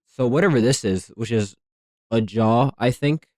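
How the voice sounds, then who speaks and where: A man giving casual, rambling commentary as a host, slangy, repeating himself and thinking out loud. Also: medium pitch, moderate pace, dry recording, studio quality. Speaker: theory video host reacting to horror series.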